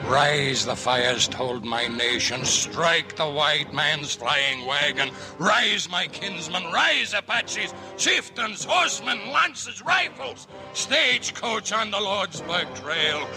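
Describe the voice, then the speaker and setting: An actor playing a fierce, solemn war chief, declaiming rhythmic verse in an old radio drama. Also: male voice, a little high, animated, slow pace, hardly any pauses, poor audio. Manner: solemn, rhythmic, swelling intensity. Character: fierce, solemn Apache war chief rallying his horsemen. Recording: vintage radio broadcast under music.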